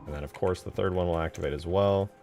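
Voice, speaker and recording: Low-voiced man thinking aloud. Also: fairly even intonation, fast, creaky, a little mellow. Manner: low-key, informal, muttering to himself while working. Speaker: game modder streaming his work. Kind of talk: casual livestream commentary, thinking aloud. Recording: music behind the voice. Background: music.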